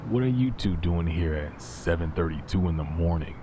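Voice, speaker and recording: An actor playing a brash, impatient schemer in a podcast drama, blunt, slangy and sarcastic. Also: male voice, low voice, animated, normal speed, dark tone, slight background noise. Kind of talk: naturalistic fiction podcast acting.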